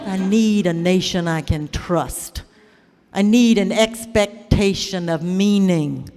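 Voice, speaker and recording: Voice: mid-range voice, slow, long reverb tail, background noise. A speaker giving a declamatory, impassioned spoken-word recitation.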